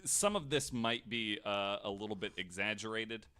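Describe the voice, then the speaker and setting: A man's voice: on the low side, normal speed, sharp tone, slight background noise. A man hosting a podcast, telling history stories in casual, jokey chat. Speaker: podcast host.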